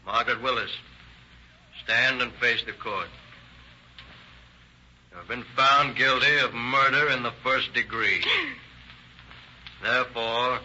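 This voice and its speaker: An actor playing a stern, formal judge with measured authority in a 1930s radio drama. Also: male voice, medium pitch, fairly even intonation, bright, forceful tone. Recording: muffled, hissy old radio recording.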